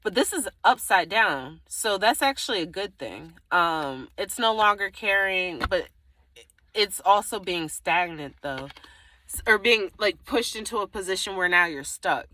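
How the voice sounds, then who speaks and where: A woman's voice: medium pitch, expressive, unhurried, pushed and bright, poor audio. A woman giving a casual, improvised tarot reading to the viewer, thinking aloud in a rambling way, often trailing off and circling back. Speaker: tarot reader.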